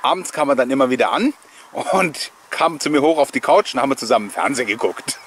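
A man's voice: mid-range voice, animated, fast talker, a bit bright, slightly rough. A man hosting a nostalgic video with chatty, rambling voice-over commentary and personal anecdotes, often chuckling as he goes. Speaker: host of a collectors' nostalgia video channel.

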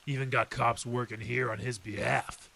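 An actor playing a hardened veteran detective in an old time radio drama, over a hiss. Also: male voice, mid-range voice, fairly even intonation, normal speed, vocal fry, sharp tone. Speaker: Detective Henry Reeves. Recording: hissy background noise.

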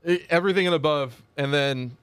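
Young male sports co-host, big emphatic voice, mid pitch, dry studio mic. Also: fairly quick, clear, resonant tone, dry recording. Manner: loud, emphatic storyteller with a big voice. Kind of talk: casual chat. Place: small TV studio, indoors. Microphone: desk mic on boom arm.

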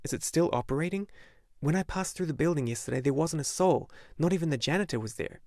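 An earnest young boy, eager and at times halting, as a male narrator puts him on. The voice is raised well above the narrator's own, dry and close. A storybook hero speaking with sincere urgency. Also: a little high, lively intonation, creaky, a little mellow, no echo, voice pushed up. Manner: eager, halting when unsure. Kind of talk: audiobook character voice.

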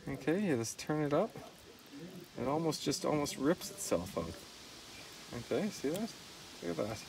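A middle-aged man giving a relaxed, hands-on fish filleting demonstration at an outdoor cleaning table, talking as he works. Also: a little high, moderate pace, creaky, recorded from a distance, background noise. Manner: relaxed, talks while working, repeats "see that". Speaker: fishing video host.

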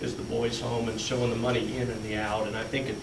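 A man's voice: mid-range voice, little pitch movement, fast, creaky. Plainspoken man at a meeting, far off. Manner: plainspoken, walks listeners through handouts step by step. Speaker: school business official presenting budget. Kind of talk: explaining figures at a school board meeting. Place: large meeting room with fluorescent lights. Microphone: far room camera. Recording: echoey room, distant mic, faint hum.

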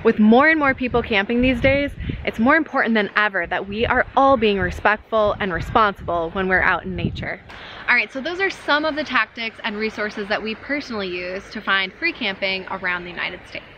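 An upbeat young woman explains camping tips to the camera in an earnest, friendly way. Her pitch sits in the middle, and a faint outdoor ambience runs behind her voice. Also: expressive, pushed and bright, a little hiss or hum, poor audio. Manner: upbeat, earnest advice-giving. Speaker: travel vlogger. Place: inside a camper van and outdoors in a forest campground. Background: faint ambience, a river rushing nearby.

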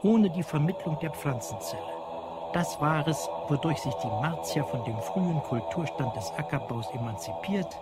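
A man narrating scripted literary prose in a measured, precise way. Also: a little high, sing-song. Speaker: audio drama narrator. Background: prominent music.

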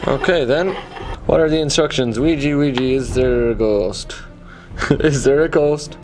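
A man's voice: medium pitch, unhurried, a little mellow, slight background noise. A man in his twenties with an Irish accent, talking to camera in a casual, self-mocking adventure vlog. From Ireland. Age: 20s. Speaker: vlogger.

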